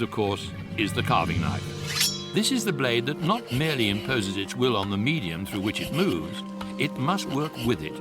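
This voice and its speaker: A male actor's wry storyteller for a sci-fi radio comedy, with an animated, widely moving delivery. He is precise and mock-serious, with music clearly playing underneath. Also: mid-range voice, close to an everyday voice. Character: wry, measured narrator of an absurd sci-fi tale. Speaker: narrator. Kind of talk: scripted comic science fiction radio narration.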